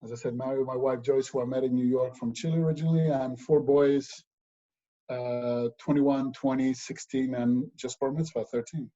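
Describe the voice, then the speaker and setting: A middle-aged man from Spain, a guest in a casual remote interview, talking in a relaxed way with many pauses and fillers. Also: mid-range voice. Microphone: video call. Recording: dull lo-fi video call audio.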